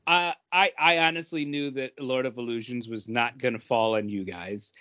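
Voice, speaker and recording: A man's voice: mid-range voice, steady tone, normal speed, pushed and bright, smooth, no room sound, highs cut off. A man co-hosting a podcast, speaking casually in informal conversation. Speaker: podcast co-host. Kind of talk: casual chat.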